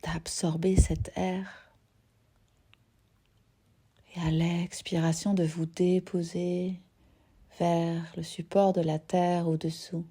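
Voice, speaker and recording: A woman with a fairly low, very mellow voice leads a guided meditation. She takes her time, speaking very slowly and gently in short phrases. The ends of her phrases turn soft and breathy. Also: flat delivery. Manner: gentle, unhurried, pausing between short phrases. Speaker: yoga nidra teacher.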